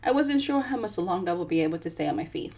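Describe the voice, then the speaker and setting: A woman's voice: on the low side, quick pace. A woman with a Dominican accent, an author chatting with viewers and then reading her own book aloud in a live session. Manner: chatty and animated, talking straight to viewers.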